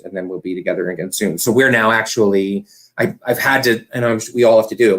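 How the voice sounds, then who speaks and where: A man speaking conversationally as an arts director and guest, earnest and effusive, in long wordy sentences. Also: on the low side. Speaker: theatre company director, guest. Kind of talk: conversational talk about running an arts organisation.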